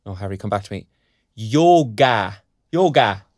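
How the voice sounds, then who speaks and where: Audio drama: chatty younger Irish father, put-upon but good-natured, lively intonation, dry recording. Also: lowish voice, measured pace, dry recording. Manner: colloquial, animated.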